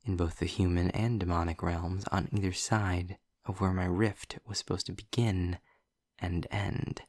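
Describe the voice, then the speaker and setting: A man as a gravelly, low, sarcastic demon. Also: a little mellow, dry recording, studio quality, exaggerated voice. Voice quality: gravelly, husky, breathy. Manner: wry, formal wording, deliberate pauses. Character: sarcastic, snarky male demon, articulate and testy. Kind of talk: scripted audio roleplay monologue addressed to the listener.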